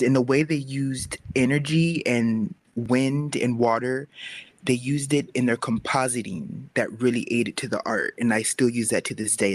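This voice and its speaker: A man explaining his craft earnestly in a casual panel talk. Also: medium pitch. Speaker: guest webcomic creator. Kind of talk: casual panel talk giving storytelling advice.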